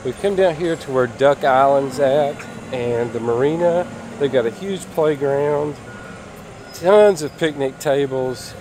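A man's voice: medium pitch, a little slow. Man chatting casually, outdoor noise behind him. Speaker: travel vlog host. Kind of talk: casual chat to camera. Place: outdoors at a park campground.

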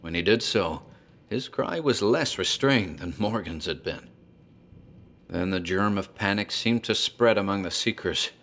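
A man giving measured, grave scripted horror narration. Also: lowish voice, a bit bright.

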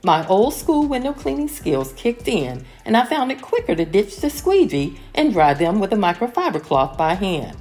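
A middle-aged woman giving a calm, step-by-step voice-over of her home cleaning routine. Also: mid-range voice, normal speed, hardly any pauses. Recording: voice-over with soft music behind.

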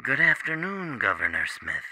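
A man's voice: mid-range voice, very animated, normal speed, heavy background noise, close to an everyday voice. A man playing a cool, menacing criminal professor in a radio drama, curt and coldly polite. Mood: cool menace.

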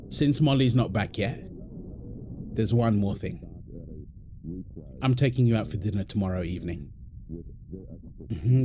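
A man's voice: mid-range voice, gravelly, noisy background. A man playing a playful, doting husband in an intimate audio roleplay, teasing and affectionate, full of exclamations. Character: playful, doting husband apologizing to his pregnant wife.

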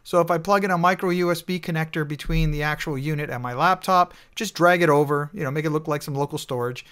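A man with a fairly high voice narrates over slides. He explains in a relaxed, conversational way, peppering his talk with "you know". It sounds like a recorded voice-over. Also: fast, clear, resonant tone. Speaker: network engineer reviewing a tool. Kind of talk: screen-recorded slide walkthrough.

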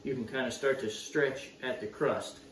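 A young man in a home kitchen casually teaching how to stretch pizza dough, encouraging and steady, with frequent quick approvals. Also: medium pitch. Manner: encouraging, steady coaching with frequent "yep, perfect". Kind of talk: casual cooking demonstration. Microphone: camera mic from across the counter. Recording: echoey kitchen, voice a bit far off, faint room noise.

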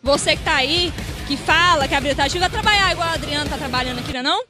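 A woman's voice: high voice, rapid-fire, music in the background, crowd noise. A woman, doing street interviews with a handheld mic at an outdoor night market, asking pointed questions. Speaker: street reporter.

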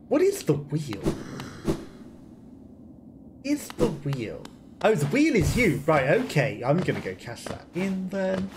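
A man, giving casual, playful gameplay commentary as he muses aloud. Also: a little high. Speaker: let's play host. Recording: clearly noisy game stream with clicks.

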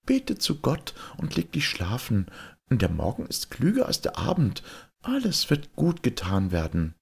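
A man voicing a calm, reassuring young wife with magic powers in a fairy tale, soothing and coaxing. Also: mid-range voice, unhurried, gravelly, husky, pitched above the performer's own voice, heavily acted. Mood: gentle, reassuring.

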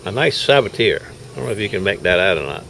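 A man's voice: low voice, very animated, quick pace, creaky, low-quality recording. An older man giving folksy, casual running commentary on his knife-sharpening work at an outdoor farmers market, recorded on a handheld camera, laughing often. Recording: faint outdoor noise.

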